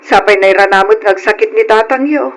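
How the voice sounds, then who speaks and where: A woman's voice: medium pitch, a little fast, lightly acted. A woman playing a worried, poor middle-aged provincial mother in an old Philippine radio drama. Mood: worried pleading.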